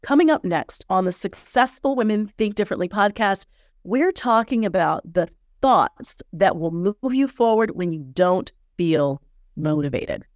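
A woman's voice: mid-range voice, expressive, a little slow, no echo. Stuffy-nosed woman hosting a motivational podcast. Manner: candid, earnest and encouraging. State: slightly stuffy from sinus pressure. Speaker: podcast host and personal coach.

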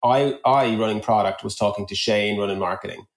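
A man's voice: lowish voice, a little fast. A man in a conversational podcast discussion, thinking aloud at length and working through examples. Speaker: podcast guest, product executive. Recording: roomy echo on the voice.

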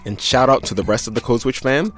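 A man's voice: mid-range voice, quick pace. An American man hosting a podcast casually, with a laid-back, playful manner. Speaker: podcast host.

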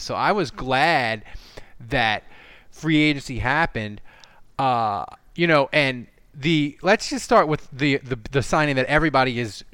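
A man's voice: mid-range voice, pushed and bright, clean tone, no room sound. A man hosting a sports podcast, talking casually and reading sponsor spots. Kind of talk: casual sports talk and ad reads.

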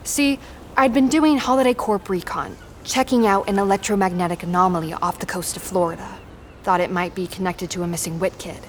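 A woman's voice: mid-range voice, brisk, slight background noise, close to an everyday voice. A woman playing a cocky, wry young undercover spy in an audio drama. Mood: wry, breezy.

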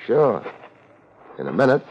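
Casting: sardonic, hardboiled American gumshoe, 1950s radio serial, animated intonation, lo-fi period sound. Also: male voice, medium pitch, unhurried, slight background noise. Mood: wry, defiant. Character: tough, wisecracking male private detective. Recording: dull old 1950s radio recording.

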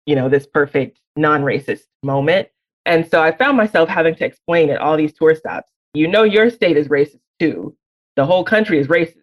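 A woman poet from Alabama with no Southern accent, reading her poem slowly and deliberately, then answering questions in a frank, reflective way. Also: low voice, dull audio. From Birmingham, Alabama. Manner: measured, deliberate reading with slow emphatic phrasing; reflective, frank conversation with light laughs. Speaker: poet and guest. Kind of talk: poetry reading and interview answers.

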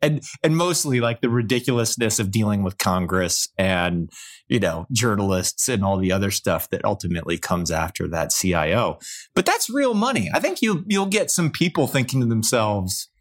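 A man co-hosting a podcast, chatting casually about investing, with halting restarts and quick laughs. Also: on the low side, big pitch swings. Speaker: podcast co-host.

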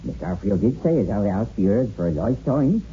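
Folksy, superstitious rustic man, lively pitch. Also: a little hiss or hum. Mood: pondering. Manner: folksy, rustic phrasing, halting. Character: superstitious, plain-spoken rustic male villager. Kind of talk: 1960s radio drama acting. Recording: tinny old radio recording.

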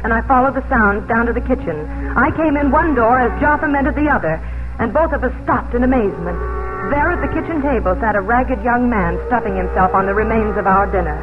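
A woman's voice: fairly high pitch, a little fast, natural voice. A woman plays a proud loyalist widow in a vintage radio play, imperious and dramatic. The sound is narrow and phone-like, with music behind her. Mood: troubled narrating. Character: proud, commanding colonial widow, a staunch loyalist. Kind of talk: 1950s radio drama acting and narration.

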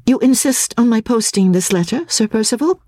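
Woman playing a guarded, proud gentlewoman. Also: fairly high pitch, expressive, no room sound, voice pushed up. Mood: curt, guarded. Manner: brisk, clipped, formal. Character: guarded, proud young English gentlewoman, reluctantly polite. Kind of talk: dramatic audiobook reading.

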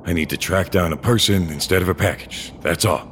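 An actor playing a weary, bitter old courier, a gruff and sardonic loner, in a noir science-fiction audio drama. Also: male voice, low voice, fairly quick, a bit bright, slight background noise. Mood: brooding, regretful. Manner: brooding, world-weary, sardonic. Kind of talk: first-person noir audio drama acting.

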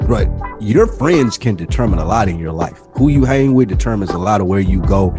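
A man's voice: lowish voice, lively intonation, fairly quick. A middle-aged American man from Nashville, chatting casually on a podcast in a reflective, slangy, profane manner. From Nashville, USA. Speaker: podcast panelist. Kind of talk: casual chat. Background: music.

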